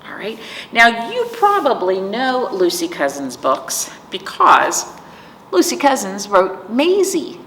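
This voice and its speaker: A woman reads aloud to young children in an animated, unhurried way, with some creak in her voice. She hosts a storytime, pausing to explain things simply and put questions to the listeners. The sound is roomy and a little distant from the mic. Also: medium pitch, a little hiss or hum. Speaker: library storytime host reading picture books.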